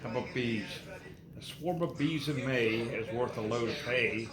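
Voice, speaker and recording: A man reading nursery rhymes aloud to his child in a sing-song, unhurried way, pausing and repeating lines. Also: medium pitch, roomy sound, crowd noise.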